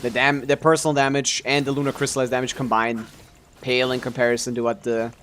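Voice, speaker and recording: A man's voice: mid-range voice, flat delivery, quick pace, fairly bright tone. Guy streaming a game, just yapping, kinda jaded, trails around mid sentence, bit of noise in the back. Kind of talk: casual live game-stream commentary.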